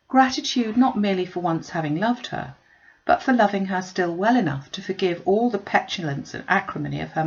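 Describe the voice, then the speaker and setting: A woman reading a classic novel aloud as scripted narration, in a measured, formal storytelling delivery. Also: on the low side, gravelly, darkish tone, lo-fi sound. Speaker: audiobook reader.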